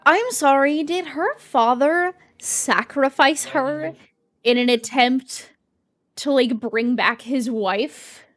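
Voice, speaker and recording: A woman's voice: high voice, slow, a bit bright. A woman game streamer giving casual, informal and reactive commentary as she plays.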